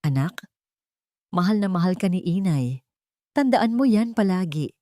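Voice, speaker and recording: A woman playing a meek, gentle single mother in an audio story, soft and reassuring while worried. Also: on the low side, animated, unhurried, dry recording, clean studio sound. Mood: tender.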